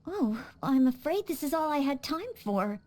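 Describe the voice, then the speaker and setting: A woman's voice: high-pitched, very animated, normal speed, a little mellow, faint music behind. A woman voicing a polite, earnest young maid knight in a game, courteous and eager to serve.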